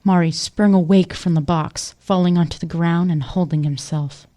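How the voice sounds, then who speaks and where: A woman's voice: lowish voice, steady tone, normal speed, background noise. A woman giving scripted narration for an audio drama.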